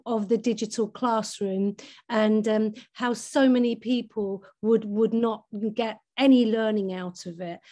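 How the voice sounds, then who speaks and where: A woman's voice: on the high side, warm tone. A middle-aged woman leading an academic session over a video call from a room at home, talking earnestly with frequent restarts. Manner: earnest, restarts and repeats words, gestures while talking. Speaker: keynote speaker leading the session. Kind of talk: academic online talk. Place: home room with bookshelves and window. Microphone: laptop webcam on a video call.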